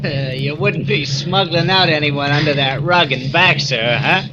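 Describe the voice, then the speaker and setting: A man's voice: fairly high pitch, measured pace, lightly acted. A man playing an easygoing, teasing male gate guard, in 1940s radio acting on a dull, hissy recording. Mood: jokey.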